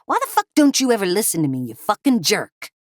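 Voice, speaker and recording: A woman voicing a crude, whiny male meth cook who rattles off fast, argumentative complaints. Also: on the high side, lively intonation, no room sound, clean studio sound, lightly acted. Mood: snapping.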